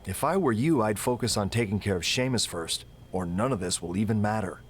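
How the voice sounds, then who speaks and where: A man's voice: lowish voice, expressive, a little fast, slight background noise, natural voice. A man playing a firm, businesslike wrestling general manager in a video game scene. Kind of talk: scripted game dialogue.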